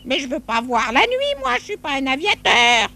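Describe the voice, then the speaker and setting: Jealous little female critter, squeaky-high, swooping, sulky, stubborn, loud music behind. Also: very animated, normal speed, a little hiss or hum, heavily acted. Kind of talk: children's puppet show acting.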